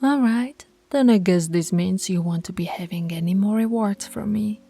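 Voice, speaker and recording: A woman's voice: medium pitch, moderate pace, mellow, breathy edge, faint music behind. A woman voicing a dominant female werewolf pack alpha in an audio roleplay, teasing and commanding, often dropping to a whisper. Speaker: voice actress.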